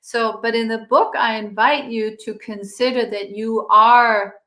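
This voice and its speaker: Give me a soft, reflective woman, sounding a bit roomy. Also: fairly high pitch, fairly even intonation, measured pace. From Denmark. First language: Danish. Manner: soft, meditative, drifting quieter. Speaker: guest, yoga teacher and author. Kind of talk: spiritual interview talk.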